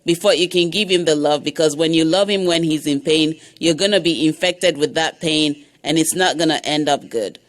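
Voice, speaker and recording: A woman from Nigeria, a guest counselor in a radio interview, giving earnest, measured advice. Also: deep voice. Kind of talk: interview answers.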